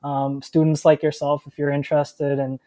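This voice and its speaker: A man's voice: a little high, completely flat delivery, normal speed, mellow, no room sound, clean studio sound. A young man from India talking casually as a startup founder guest, chatty with many fillers and restarts. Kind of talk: casual interview.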